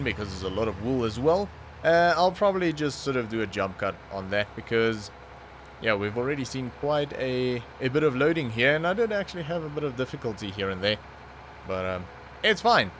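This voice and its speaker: A man's voice: medium pitch, a bit bright, a little hiss or hum. A young man, not a native English speaker, commenting casually on his own gameplay, thinking aloud with fillers and restarts. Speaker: gaming channel host.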